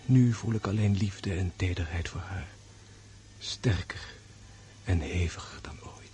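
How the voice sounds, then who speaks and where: An actor playing a gravely wounded nobleman in a radio drama, tender and sorrowful, musing in a hushed, introspective way. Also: low-pitched, slight background noise. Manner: slow, hushed, introspective monologue.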